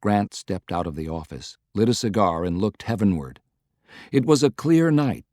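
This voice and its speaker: Low-pitched man narrating, dry studio sound. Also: expressive, moderate pace, darkish tone, dry recording. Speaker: audiobook narrator. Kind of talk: scripted dramatic narration of a historical novel.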